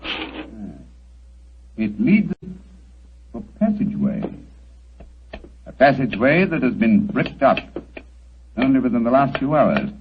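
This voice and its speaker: An actor playing a brilliant, calm detective with dry wit in 1940s radio acting, speaking in a crisp, precise manner over a dull old radio broadcast. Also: male voice, medium pitch, slow, pauses often, slight background noise.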